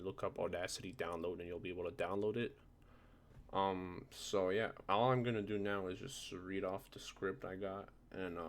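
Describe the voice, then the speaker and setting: Low, gravelly man giving a casual tutorial. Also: a bit bright. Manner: informal, often checks listener is following. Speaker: tutorial presenter. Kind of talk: casual screen-recorded software tutorial.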